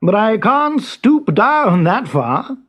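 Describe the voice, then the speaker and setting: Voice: medium pitch, sing-song, slow pace, a bit bright, slightly rough, pitched above the performer's own voice, full character voice. A performer voicing a tall, long-necked, languid giraffe in a children's storybook, with stretched-out words.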